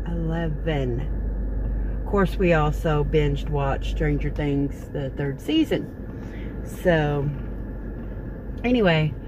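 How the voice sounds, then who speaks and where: Middle-aged woman, meandering folksy chatter, parked car, noisy background. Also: low-pitched. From Texas. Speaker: vlogger. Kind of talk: casual rambling chat to camera. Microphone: phone camera at close range. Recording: car interior with noticeable background noise.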